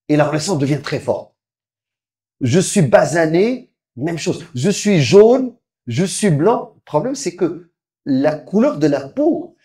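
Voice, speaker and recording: A man giving a religious lecture, explaining step by step, often restarting his sentences and quickly reciting Arabic verses. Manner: frequent halting restarts, lively swings in pitch and volume. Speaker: preacher.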